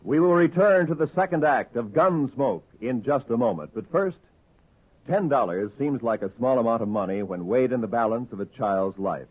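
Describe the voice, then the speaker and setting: A man's voice: medium pitch, lively intonation, moderate pace. An American man announcing on 1950s radio in an earnest, formal style. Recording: muffled, rough old radio recording.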